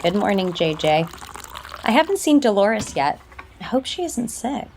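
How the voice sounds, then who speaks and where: A woman's voice: mid-range voice, very animated, moderate pace, noisy background. A woman acting in an audio soap opera as a stern, critical older sister and company executive. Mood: surprised, questioning. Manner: firm, reproachful.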